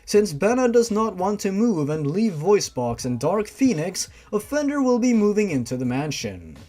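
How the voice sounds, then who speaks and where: A man's voice: sits high, very animated, normal speed, warm tone. A man voicing an authoritative father in a story, speaking in a formal, announcing manner. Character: authoritative father addressing his monster household at breakfast.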